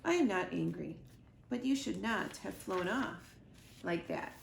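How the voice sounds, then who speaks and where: A woman voicing a calm, protective robot mother in a children's storybook, speaking formally and evenly. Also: medium pitch, gravelly. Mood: gentle, measured. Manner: measured, formal, even delivery without contractions. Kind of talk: children's storybook read-aloud. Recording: roomy echo, noticeable background noise.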